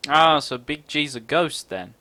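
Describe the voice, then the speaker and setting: A woman's voice: low-pitched, animated, a little slow, bright, forceful tone. A woman streamer giving casual gameplay commentary with wry, jokey reactions. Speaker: streamer playing a game. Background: faint game music.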